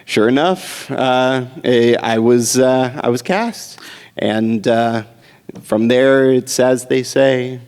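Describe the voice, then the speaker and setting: A man speaking at a slow, unhurried pace on a convention panel, rambling with fillers and restarts. His voice carries a long reverb from a large convention hall. He sounds like a voice actor chatting casually with fans. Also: mid-range voice, darkish tone, clear, resonant tone. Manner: rambling, many fillers and restarts, chuckles often.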